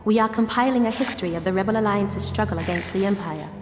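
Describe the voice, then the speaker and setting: Casting: female actor as formal, inquisitive archivist for a space game, medium pitch, precise diction, reverberant hall sound. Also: fast, natural voice. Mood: formal, inquiring. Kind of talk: scripted game cutscene dialogue. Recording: echoey hall reverb, faint music.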